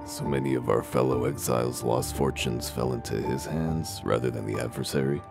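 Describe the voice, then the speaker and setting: Man playing a very deep, gravelly, blunt detective. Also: fairly even intonation, normal speed, over music. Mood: gruff, businesslike. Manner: blunt, accusatory interrogator. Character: gruff, foul-mouthed wolf detective in human guise. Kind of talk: comic dub voice acting.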